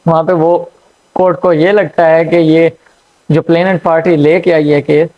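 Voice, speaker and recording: A man giving a law lecture, explaining slowly with frequent fillers and checks. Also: a little high, flat delivery. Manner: hesitant, frequent fillers and checks "theek hai". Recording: dull lo-fi recording.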